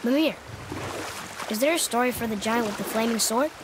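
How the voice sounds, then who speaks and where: A man's voice: very high-pitched, a little fast, noisy background. A male actor playing a curious, eager young boy in a video game, earnest and inquisitive.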